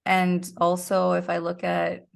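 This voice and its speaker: A woman's voice: fairly low pitch, completely flat delivery, unhurried, gravelly. A woman speaking casually as an interview guest, reflective and thinking aloud as she goes. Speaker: interview guest, actor and podcaster.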